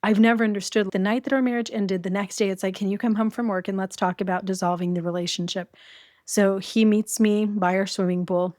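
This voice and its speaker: A woman's voice: medium pitch, steady tone, brisk, a little mellow, dry recording, studio quality. A middle-aged woman, a podcast guest, candidly telling her own story in a conversational interview. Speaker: guest, domestic violence survivor.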